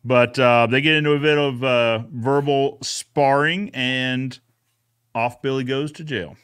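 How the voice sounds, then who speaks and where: A man's voice: medium pitch, steady tone, unhurried, a bit bright, clean tone. A man hosting a podcast recap in a casual, folksy, conversational way. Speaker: host of a podcast recap show.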